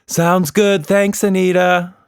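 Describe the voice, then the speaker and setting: A man's voice: sits high, little pitch movement, takes it slowly, creaky, smooth, dry recording, clean studio sound, pitched above the performer's own voice, full character voice. A man voicing a proud, encouraging mother who calls out to her child in a children's story. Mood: proud, cheerful. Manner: calling out brightly.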